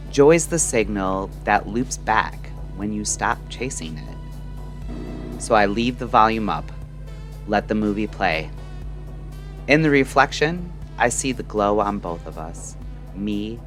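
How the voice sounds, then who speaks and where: A man delivering a scripted, introspective late-night radio monologue in measured, deliberate short phrases. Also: fairly low pitch, fairly bright tone. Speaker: narrator and host of a late-night radio style audio show. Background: music.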